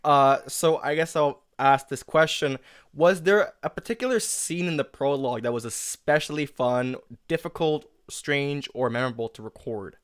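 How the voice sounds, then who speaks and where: Clear-voiced man hosting a casual interview. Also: a little high, normal speed, dry recording, studio quality. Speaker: host interviewing a collaborator, writer of an audio drama. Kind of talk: casual creator commentary interview.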